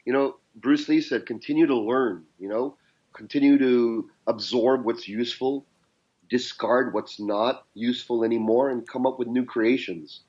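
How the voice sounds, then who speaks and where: A man's voice: mid-range voice, steady tone, normal speed, darkish tone, highs cut off. A man with a Canadian accent, a guest telling his life story in an earnest, reflective way. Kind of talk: podcast interview.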